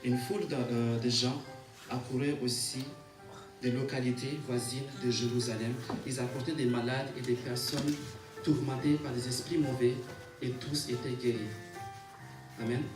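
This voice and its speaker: A man's voice: medium pitch, level tone. Man preaching in an echoey room over music. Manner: halting when reading aloud, repeats and rephrases phrases; rhythmic repetition, calls for amens. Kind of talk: sermon with scripture reading. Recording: echoey room, distant mic, music behind.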